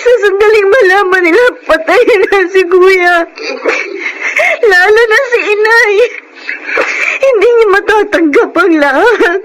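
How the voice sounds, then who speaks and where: Very high-pitched, sorrowful young-sounding daughter. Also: female voice, measured pace, background noise. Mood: grieving. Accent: Filipino. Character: grieving grown daughter and elder sister, respectful, burdened. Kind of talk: emotional Filipino radio drama acting.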